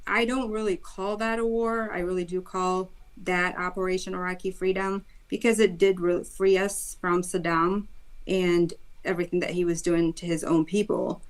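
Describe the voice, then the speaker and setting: A woman in her thirties from Iraq, a guest on an interview, telling her life story in an earnest, animated way. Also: on the low side. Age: 30s. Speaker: guest, school board candidate.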